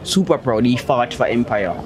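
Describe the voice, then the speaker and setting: A man's voice: medium pitch, expressive, normal speed. A man speaking emphatically in a casual street conversation, repeating his points with force. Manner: emphatic, repetitive, declarative. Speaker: interviewee. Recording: crowd noise of a busy street.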